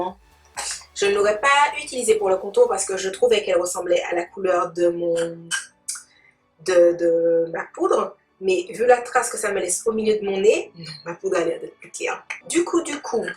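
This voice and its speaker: A woman giving a casual makeup tutorial, chatting playfully in slang and addressing viewers like friends. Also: mid-range voice. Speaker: beauty vlogger demonstrating makeup. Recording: roomy, distant mic, music behind.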